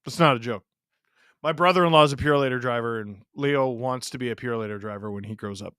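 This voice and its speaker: A man's voice: mid-range voice, fast, sharp tone, clear, resonant tone, no echo, clean studio sound. A man in his thirties from Canada hosting a live hockey watch-along, joking with chat and reacting to plays. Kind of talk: casual live-stream commentary.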